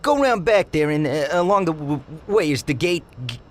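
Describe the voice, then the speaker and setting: Game casting: male actor as meek gang mechanic, lightish pitch, severe halting stutter. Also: animated, natural voice. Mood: hesitant, helpful. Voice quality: heavy stutter. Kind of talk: video game cutscene dialogue.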